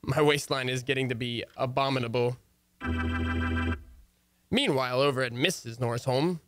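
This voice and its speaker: An actor playing an easygoing, wisecracking husband with a wry, hesitant manner in an old-time radio comedy. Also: male voice, mid-range voice, moderate pace, background noise, close to an everyday voice. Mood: wry, rueful. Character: easygoing, wisecracking husband narrating his marital troubles.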